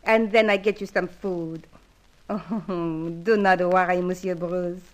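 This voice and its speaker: An actress playing a coquettish, soft-hearted French spy in a radio serial, speaking halting broken English with a French accent. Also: female voice, lowish voice, fairly even intonation. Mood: coy, firm.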